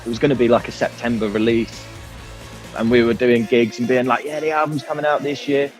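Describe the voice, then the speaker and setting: A man's voice: medium pitch, level tone, brisk, soft background music. A man chatting informally and candidly in an interview as a band musician, in a rambling way. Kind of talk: casual interview chat.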